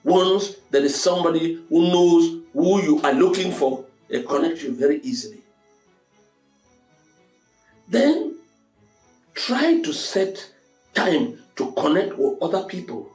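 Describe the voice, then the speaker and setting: A man's voice: high-pitched, darkish tone. A man giving a motivational monologue to his audience in an emphatic, preacherly way, repeating phrases to drive points home. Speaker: host of a motivational talk show. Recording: roomy echo, music underneath.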